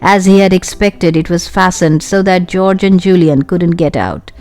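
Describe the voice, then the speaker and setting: A woman reading a children's adventure story aloud in an expressive storytelling style. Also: on the low side, moderate pace, faint music behind. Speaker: audiobook narrator.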